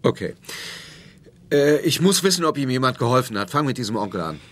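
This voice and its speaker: An actor playing a demanding, impatient police superior in a German radio drama, commanding and dryly sardonic. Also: male voice, mid-range voice, sing-song, a little fast. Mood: dry, businesslike.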